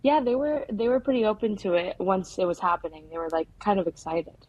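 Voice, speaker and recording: A young woman talks casually as a filmmaker being interviewed. Her delivery is informal and halting, with frequent filler words. Her pitch is average for a woman. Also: a little mellow, no room sound, low-quality recording. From United States. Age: young adult. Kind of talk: casual conversational interview answers.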